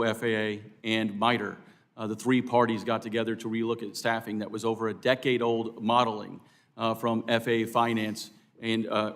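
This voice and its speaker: An American man answering as an expert witness at a hearing, in a formal, deliberate and earnest way. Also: medium pitch. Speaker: expert witness for air traffic controllers. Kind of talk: formal testimony.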